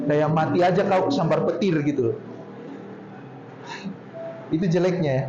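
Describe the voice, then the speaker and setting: High-voiced man with a Poso accent. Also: mellow, a little hiss or hum. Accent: Poso regional Indonesian. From Poso, Central Sulawesi, Indonesia. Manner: chatty, drawn-out storytelling tone. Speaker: speaker at a talk. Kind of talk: casual talk to a group. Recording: roomy, dull lo-fi sound with faint ambience.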